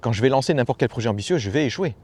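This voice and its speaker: A man's voice: medium pitch, animated, rapid-fire, a little mellow, slightly rough. A man giving a casual motivational talk as a personal development coach, familiar and slangy, hammering points home by repeating phrases.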